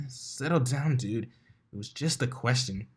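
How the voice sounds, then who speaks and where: A man's voice: mid-range voice, unhurried, husky. A man voicing a teasing, laid-back female unicorn pony DJ in a fan fiction reading. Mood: easygoing. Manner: nosy, playful teasing. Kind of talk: acted fan fiction dialogue.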